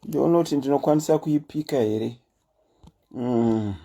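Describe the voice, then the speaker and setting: A woman with a Zimbabwean accent and a deep voice, hosting a casual livestream chat and telling stories in a chatty, animated way. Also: measured pace. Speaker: host.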